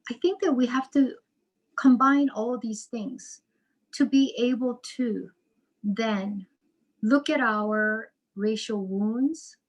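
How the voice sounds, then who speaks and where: A woman author and psychotherapist answering interview questions in a measured, reflective way over a video call. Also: fairly high pitch, rough recording. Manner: slow, measured, thoughtful with repetitions.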